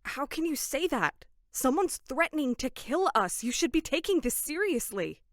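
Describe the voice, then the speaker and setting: A woman's voice: sits high, sing-song, normal speed, dry recording, clean studio sound. A woman playing an earnest, serious young female camp counselor in a horror audio drama, polite but insistent. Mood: alarmed.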